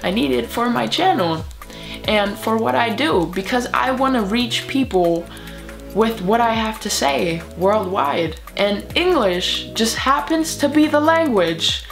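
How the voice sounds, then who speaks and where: A woman's voice: a little high, animated, measured pace, vocal fry, soft background music. A woman from Germany, German her first language, speaking English in an earnest, encouraging motivational vlog. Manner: earnest, encouraging, with soft laughs. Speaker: video creator.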